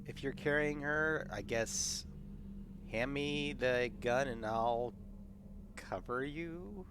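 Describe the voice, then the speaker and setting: A man with an average-pitched voice takes part in a tabletop roleplaying game. His talk is casual and conversational, the chat of a player at the table. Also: slow pace, fairly bright tone, a little hiss or hum.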